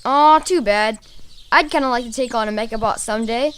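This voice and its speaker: Woman as an eager, high-pitched little fox boy. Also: fairly quick, fairly bright tone, slight background noise, lightly acted. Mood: eager, curious. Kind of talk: amateur radio drama acting.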